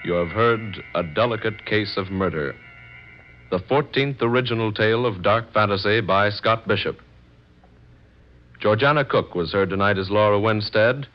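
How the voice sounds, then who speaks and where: A man's voice: on the low side, soft background music. Formal male announcer, muffled old radio. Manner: formal, measured. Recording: dull old radio recording.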